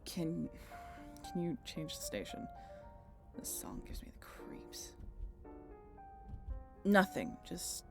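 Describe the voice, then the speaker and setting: Woman voice acting a centuries-weary vampire lady, creaky slow voice, guarded and sarcastic, swears, intimate audio drama. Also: low voice, big pitch swings, music in the background, full character voice. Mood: uneasy, subdued. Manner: halting, sardonic, casually profane. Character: reluctant century-old female vampire, sardonic, guilty, guarded, profane. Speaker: audio roleplay voice actor. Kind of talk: intimate scripted audio roleplay addressed to the listener.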